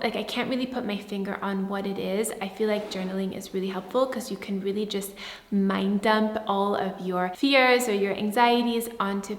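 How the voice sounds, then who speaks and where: A young woman from Sweden, a native Swedish speaker, talking in a soft, earnest way and often saying "like" while she answers viewer questions. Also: mid-range voice. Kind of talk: casual Q&A to camera. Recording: echoey room with long reverb.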